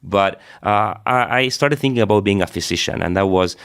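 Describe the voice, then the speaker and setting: Low-voiced Argentine priest, middle-aged man. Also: brisk, fairly bright tone, clear, resonant tone. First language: Spanish. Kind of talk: personal storytelling in an interview.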